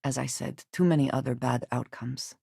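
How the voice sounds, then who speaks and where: A woman's voice: extremely low pitch, fairly even intonation, vocal fry, dark tone, slightly rough, dry recording, full character voice. Guarded, formal spacefaring woman, dry sound. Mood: guarded, solemn. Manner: measured, formal phrasing with few contractions. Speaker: video game voice actress. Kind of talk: video game character dialogue.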